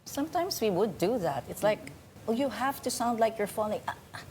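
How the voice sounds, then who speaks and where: A woman chiming in with lively answers as a guest. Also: fairly high pitch, brisk, slight background noise. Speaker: voice artist guest. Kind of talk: interview answers.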